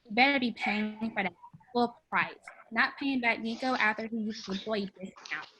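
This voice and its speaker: A woman's voice: a little high, moderate pace, natural voice. A woman reading a curt, weary restaurant manager in her fifties in a table read. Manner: curt, stern. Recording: roomy echo, clear background noise.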